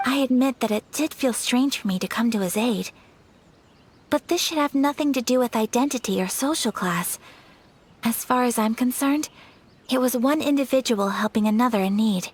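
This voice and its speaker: A woman voicing a graceful young noblewoman in a game, speaking formally, gently and in a measured way. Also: on the high side, slight background noise, lightly acted. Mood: earnest. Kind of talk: game voice acting.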